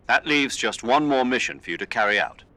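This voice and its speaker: A man playing an authoritative military commander in a video game, giving grave, measured mission briefings. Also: mid-range voice, lively intonation, bright, forceful tone. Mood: commanding.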